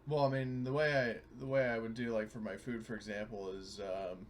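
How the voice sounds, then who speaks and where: A man's voice: medium pitch, little pitch movement, normal speed. A man playing a tabletop game, chatting casually and insistently clarifying his point. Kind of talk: casual chat. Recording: noisy room ambience behind voice.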